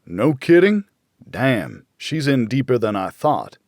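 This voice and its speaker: A man's voice: medium pitch, big pitch swings, takes it slowly, darkish tone, no room sound, clean studio sound. A man voicing a blunt, needling, worried older friend in a noir audiobook. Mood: curious.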